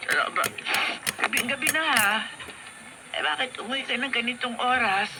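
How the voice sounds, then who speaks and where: Radio drama role: actress as anxious middle-aged mum, slightly high voice, protective; very noisy recording. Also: female voice, moderate pace, natural voice. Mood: worried, tender. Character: worried, protective mother of a grown daughter. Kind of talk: Filipino radio drama acting.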